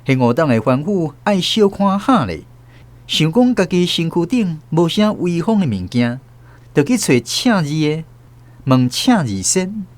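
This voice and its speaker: A male narrator telling a radio drama story with a lively, swinging voice that sits fairly high for a man. His storytelling is animated. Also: unhurried. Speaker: host and narrator.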